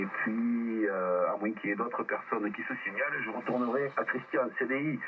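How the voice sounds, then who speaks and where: A man's voice: mid-range voice, far from the mic. Man on muffled, noisy shortwave radio. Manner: spells out call signs. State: lingering cold, coughing. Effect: amateur radio. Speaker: amateur radio net controller. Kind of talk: casual ham radio exchange.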